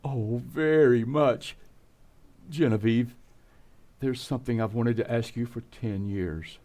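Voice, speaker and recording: A man's voice: mid-range voice, slow pace, a little mellow, lightly acted. Man playing shy, stammering bachelor shop clerk. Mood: smitten. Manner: bashful, stammering. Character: shy, flustered, lonely bachelor salesman in love. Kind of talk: old-time radio sitcom acting.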